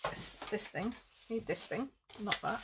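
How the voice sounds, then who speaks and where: A woman with a croaky throat, chatting casually as she demonstrates card making at home. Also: medium pitch, measured pace, background noise. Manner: chatty, rambling, thinks aloud while crafting. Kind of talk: casual live craft demo.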